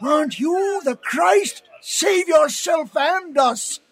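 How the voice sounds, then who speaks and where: An actor voicing a scornful mocker in a dramatised scripture reading, taunting with drawn-out words over music. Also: sits high, lively intonation, exaggerated voice. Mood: jeering. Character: scornful mocker jeering at a crucified man. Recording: music behind the voice.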